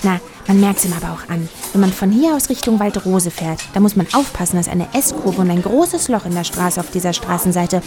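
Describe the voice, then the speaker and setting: An actress playing a lonely, naive hotel receptionist in a German audio drama, earnest and rambling in every detail. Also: female voice, on the low side, animated, a little fast, few pauses, a little mellow, soft background music, natural voice. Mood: uneasy, rambling. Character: lonely, naive, over-literal female village hotel receptionist.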